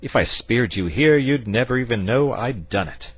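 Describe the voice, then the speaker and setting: Persuasive good-natured storybook knight, read by a man, raised and animated. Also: mid-range voice, normal speed, breathy edge, dry recording, voice pushed up. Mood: coaxing. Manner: persuasive, hearty, patient. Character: Saint George, courteous persuasive knight.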